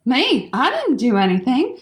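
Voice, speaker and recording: A woman's voice: high-pitched, huge pitch swings, unhurried, heavily acted. A woman playing a blunt, indignant schoolgirl sidekick in a comedy radio play, protesting in a matter-of-fact way.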